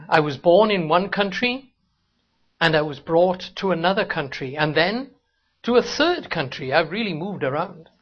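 A Welsh man preaching a sermon in a church, talking to the congregation in a conversational way and asking rhetorical questions. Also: dull audio. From Wales. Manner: conversational, rhetorical questions, rises sharply in pitch for emphasis. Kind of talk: sermon with opening prayer. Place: church hall.

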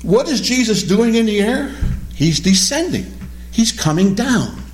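A man teaching a Bible study class, animated and questioning, repeating key words as he explains them. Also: sits high, a little slow. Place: classroom or church hall with a small group.

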